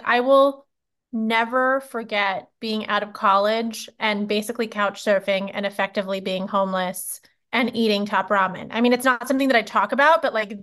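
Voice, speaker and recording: A woman's voice: fairly high pitch, bright, forceful tone. A woman hosting an interview podcast, upbeat and effusive as she welcomes and questions her guest. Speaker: podcast host.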